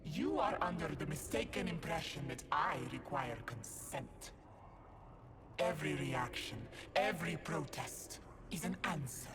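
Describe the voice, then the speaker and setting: An actor voicing a menacing, gloating envy demon in a video game, taunting slowly and deliberately. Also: medium pitch, animated, music in the background, lightly acted. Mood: menacing, mocking. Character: menacing, gloating envy demon mimicking other people's voices. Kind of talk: video game villain acting.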